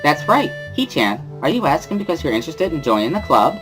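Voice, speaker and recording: A man voicing a bubbly, loud teenage schoolgirl with an exuberant, sing-song, giggly manner in a visual novel reading. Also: a little high, very animated, fairly quick, music in the background, voice pushed up. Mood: bright, curious.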